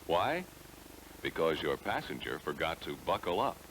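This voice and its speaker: A noisy 1970s training film soundtrack carries an American man with a low-pitched voice. He reads scripted instructions in a measured, explanatory way, speaking straight to the listener with lively rises and falls in his intonation. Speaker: narrator of a car sales training film.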